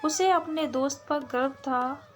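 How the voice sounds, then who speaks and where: Woman, high register, slow measured delivery, scripted storytelling, soft music behind. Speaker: storyteller, channel narrator. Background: background music.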